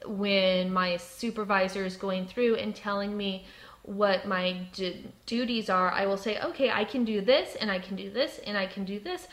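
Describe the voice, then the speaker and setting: A woman of medium pitch gives an explanatory talk with personal examples. She stutters markedly, catching on and repeating first sounds. Her delivery is slow, deliberate and composed. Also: fairly bright tone. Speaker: presenter giving workplace advice.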